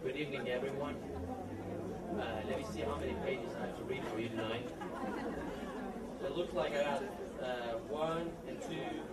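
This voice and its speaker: Young man with a slight Texas accent, speaking in a hall. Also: on the high side. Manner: relaxed and proud, reading pages, some restarts. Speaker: alumnus giving a speech. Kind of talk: prepared speech at a party. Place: large hall at a party. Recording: echoey hall, mic far off, loud crowd.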